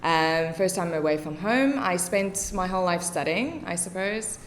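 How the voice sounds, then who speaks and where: Chatty South African woman, lowish voice. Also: normal speed, slight background noise. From Cape Town, South Africa. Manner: chatty, laughs often, many fillers. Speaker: guest. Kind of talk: casual interview.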